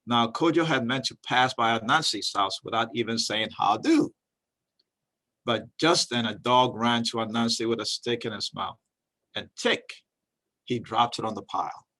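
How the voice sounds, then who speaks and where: A man reads a storybook aloud as a storyteller. His delivery is expressive and folksy, and he slips easily into casual, chatty commentary. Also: medium pitch. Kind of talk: storybook reading with casual asides.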